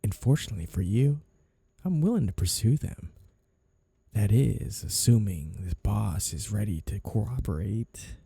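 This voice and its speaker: A man playing a flirty, two-faced mafia boss in an audio roleplay, menacing and obsessively in love, smooth-talking and teasingly polite as he threatens. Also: lowish voice, animated, unhurried, dark tone, no room sound, heavily acted. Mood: sly, threatening. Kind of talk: audio roleplay ASMR acting.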